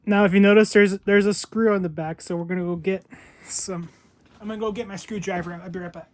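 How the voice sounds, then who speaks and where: Casual vlogger voice, medium pitch, doing an unboxing step by step like show and tell. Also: fairly even intonation, fairly quick. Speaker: video host.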